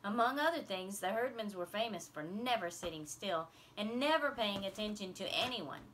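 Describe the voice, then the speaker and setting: Bright-voiced woman reading a story. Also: medium pitch, expressive, moderate pace, slight background noise. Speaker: audiobook reader. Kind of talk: scripted narration of a children's novel.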